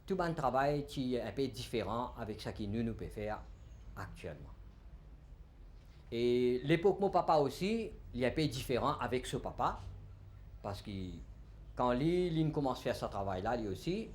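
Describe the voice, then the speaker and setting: A middle-aged man with a Mauritian accent, a Tamil speaker, answering interview questions in a halting way, searching for words. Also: mid-range voice, lively intonation, darkish tone, a little hiss or hum. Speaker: tinsmith.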